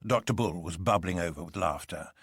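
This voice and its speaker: A man's voice: low-pitched, brisk, husky, no room sound, clean studio sound. A man narrating an adventure story in a scripted, dramatic reading style.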